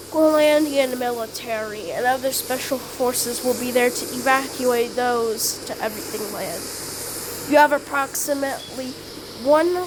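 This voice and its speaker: A woman improvising an amateur mock emergency broadcast as the announcer, with halting, repetitive delivery. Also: sits high. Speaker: emergency alert announcer in a hobby scenario. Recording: clearly noisy home recording.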